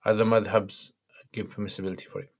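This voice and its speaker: Croaky-voiced man answering questions. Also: lowish voice, flat delivery, fast. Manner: brief, matter-of-fact answers. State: slightly croaky voice. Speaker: Islamic scholar answering viewer questions. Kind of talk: livestream Q&A, reading out questions.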